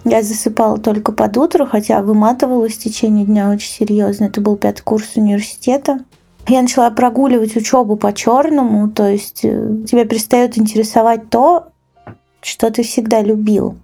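A woman's voice: fairly high pitch, fairly even intonation, a little fast, gravelly, dark tone. A woman casually recounting a personal memory as a podcast guest, candid and colloquial. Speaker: guest editor telling a personal story. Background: background music.

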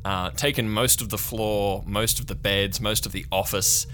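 A man's voice: on the low side, fairly even intonation, normal speed, a bit bright, a little hiss or hum. A man improvising narration as game master of a tabletop game.

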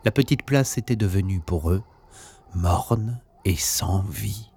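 A man reading a scripted children's story as an expressive narrator. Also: deep voice, slow, slightly rough.

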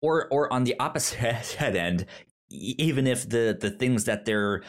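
A man's voice: medium pitch, lively intonation, unhurried. A man hosting a podcast, talking about films with enthusiasm, stammering and often restarting his sentences. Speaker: podcast host. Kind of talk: casual film discussion.

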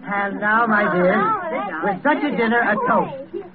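A tinny vintage radio drama with a crowd around. a gentle, cheerful father, his high voice lively and full of tender heartiness. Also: a little slow. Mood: hearty. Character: cheerful, gentle, devout father, humble clerk. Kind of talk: old-time radio drama acting.

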